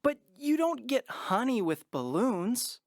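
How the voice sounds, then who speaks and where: A woman's voice: fairly high pitch, big pitch swings, slow pace, no room sound, clean studio sound, exaggerated voice. A woman playing a kind, curious, sensible young boy in a children's audio drama, straightforward and questioning. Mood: curious, friendly.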